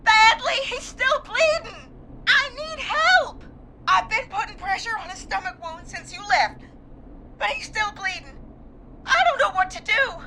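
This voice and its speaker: A woman's voice: very high-pitched, expressive, measured pace, background noise, heavily acted. An actress playing a frightened, desperate young woman caller in a video game, pleading, hesitant and apologetic. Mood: panicked, tearful. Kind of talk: dramatic video game voice acting.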